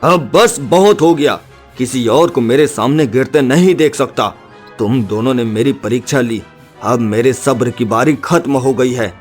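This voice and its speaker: Guy acting as brave woodcutter dad in a cartoon, earnest n protective, really slow dramatic delivery, bit of music. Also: mid-range voice, close to an everyday voice. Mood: determined. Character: brave, protective woodcutter father, determined and tender.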